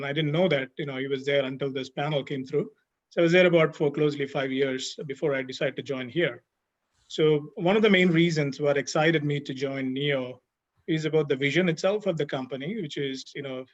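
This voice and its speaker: A man's voice: fairly high pitch, dull audio. A middle-aged man on a webinar panel, talking steadily and explaining at length with many fillers. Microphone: video call.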